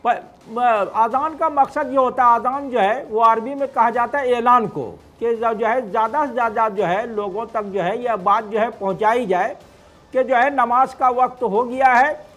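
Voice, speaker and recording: A man with a strikingly high-pitched voice answers as a cleric in an interview. He explains at length, haltingly, looping through the same filler phrases as he builds each point. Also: fairly bright tone. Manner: halting, wordy, heavy repeated verbal fillers.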